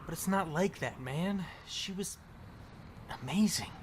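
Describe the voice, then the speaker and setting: A man's voice: high voice, a little slow, noisy background. A man playing a bitter, regretful survivor in a zombie audio drama, sarcastic and slangy but caring underneath. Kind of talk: audio drama acting, casual profane dialogue.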